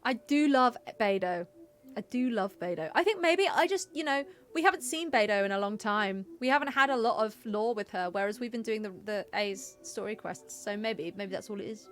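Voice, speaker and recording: A woman's voice: mid-range voice, animated, normal speed. A woman giving casual live-stream game commentary, chatty and playful as she muses aloud. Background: game music.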